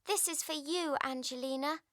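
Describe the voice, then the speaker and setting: A woman voicing a sweet, earnest little boy mouse, soft-spoken, in a storybook read-along. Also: high-pitched, moderate pace, no echo, studio quality. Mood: proud.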